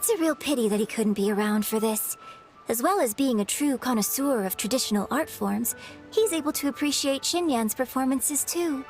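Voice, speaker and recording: A woman playing a poised, gentle young opera singer in a video game, polite and articulate. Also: high voice, expressive, moderate pace, soft background music. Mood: friendly, composed.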